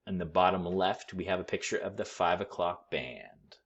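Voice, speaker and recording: A man reading a picture book aloud to children in an expressive, teacherly way. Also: low-pitched, normal speed, husky, highs cut off. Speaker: school read-aloud storyteller.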